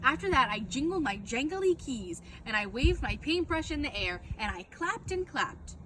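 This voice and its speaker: A woman reading a children's book aloud as a storyteller, with expressive, dramatic narration. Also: sits high, normal speed.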